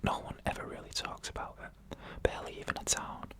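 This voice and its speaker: Bright, whispering ASMR storyteller. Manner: slow, hushed, deliberate phrasing with pauses. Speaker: ASMR storyteller reading first-person accounts.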